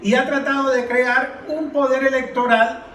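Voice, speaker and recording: A man's voice: very high voice, flat delivery, normal speed, fairly bright tone, roomy sound, recorded from a distance. A man giving impassioned political commentary as a podcast panelist, emphatic and declamatory, repeating phrases for force.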